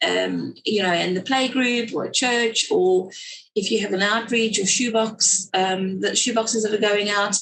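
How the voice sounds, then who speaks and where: A woman's voice: mid-range voice, low-quality recording. A woman with a South African accent, talking casually as a guest on a video call webinar, rambling with lots of fillers and restarts. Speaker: guest speaker, children's story writer.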